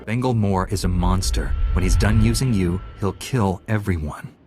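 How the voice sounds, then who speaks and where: An actor playing a steady, principled young warrior apprentice in a video game, measured and firm. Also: male voice, deep voice, music in the background, natural voice. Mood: stern. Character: young male Jedi apprentice, steady, stern, principled hero. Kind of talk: video game cutscene acting.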